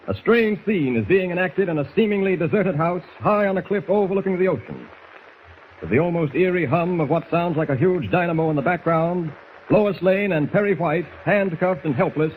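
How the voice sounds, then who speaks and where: A man's voice: high-pitched, slight background noise. A man narrating a 1940s radio adventure serial in a dramatic, suspenseful manner. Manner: dramatic, suspenseful scene-setting. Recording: thin, tinny old 1940s radio recording.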